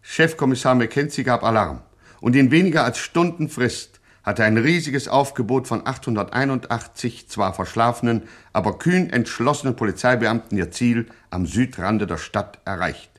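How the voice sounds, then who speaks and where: A man's voice: mid-range voice, moderate pace, close to an everyday voice. Formal male narrator for a gangster radio satire, mid-range voice, mock-epic solemnity.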